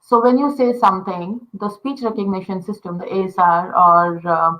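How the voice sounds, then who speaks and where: A woman's voice: mid-range voice, steady tone, moderate pace, a bit bright, rough recording. A woman from India with an Indian accent, a native Telugu speaker, explaining conversation design in casual talk full of fillers like "uh" and "you know".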